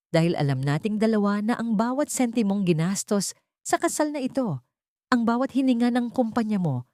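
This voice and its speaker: A woman's voice: medium pitch, dark tone, dry recording, clean studio sound, voice pushed up, lightly acted. A woman voicing a wronged wife in a dramatic story, defiant and accusing, speaking with emphatic bitterness.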